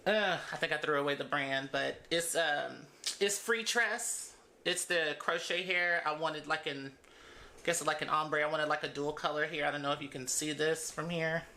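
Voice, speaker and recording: A woman's voice: low voice, fairly even intonation, moderate pace, bright, forceful tone, reverberant, slight background noise. A woman in her thirties chatting casually as she braids her hair for her vlog, laughing often between thoughts. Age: 30s. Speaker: beauty vlogger.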